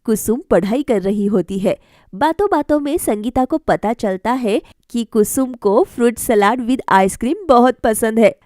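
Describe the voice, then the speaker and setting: A woman's voice: a little high, measured pace, mellow, dry recording, clean studio sound. A woman narrating a scripted children's story as a voice-over storyteller. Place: close, dry voice-over recording.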